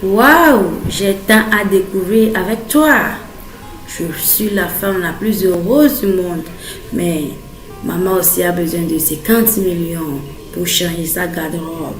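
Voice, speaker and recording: Casting: actress as adoring cartoon bride-to-be, unhurried and gushing, echoey distant mic with faint music. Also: female voice, lowish voice. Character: adoring young bride-to-be, dutiful daughter, dazzled by wealth.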